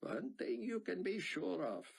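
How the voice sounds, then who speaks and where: A man voicing a gentle, devout older scholar with an Israeli accent, speaking formally. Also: high voice, big pitch swings, a little slow, creaky, slightly rough, voice pushed up, exaggerated voice. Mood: earnest. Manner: formal, earnest speech.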